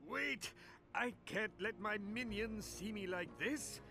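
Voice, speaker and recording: A man's voice: sits high, takes it slowly. A man voicing a menacing robot crime lord in a video game, with theatrical villain delivery. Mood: pleading, grudging. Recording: game music behind the voice.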